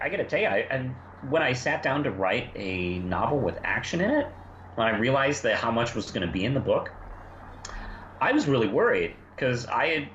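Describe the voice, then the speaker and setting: A man chatting casually on a review discussion in a halting, rambling way, often repeating words. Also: mid-range voice, fairly bright tone. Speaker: podcast host and writer. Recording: muffled, roomy, noisy home recording.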